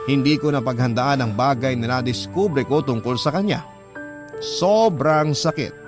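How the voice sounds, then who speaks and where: A man's voice: medium pitch, unhurried, close to an everyday voice. A man playing a devoted, heartbroken boyfriend who narrates his own story in an earnest, confiding way, with a Filipino accent, in a radio drama. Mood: pained. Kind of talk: scripted first-person radio drama narration. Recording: music behind the narration.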